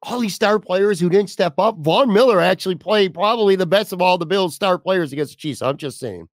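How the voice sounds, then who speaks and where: A man's voice: sits high, fairly quick, no echo, clean studio sound. A man hosting a sports podcast in a casual, blunt, opinionated and informal way. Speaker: podcast host.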